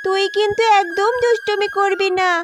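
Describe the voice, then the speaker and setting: A woman's voice: very high-pitched, flat delivery, normal speed, noisy background. A woman voicing an earnest, plucky young village girl in a children's cartoon, with a childlike, pleading manner. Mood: fond, instructing.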